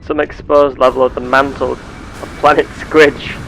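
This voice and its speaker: A man voicing a curious, earnest space explorer with measured, formal, theatrical phrasing, narrating a sci-fi game roleplay. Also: medium pitch, level tone, creaky, a bit bright, a little hiss or hum, low-quality recording. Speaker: gameplay host.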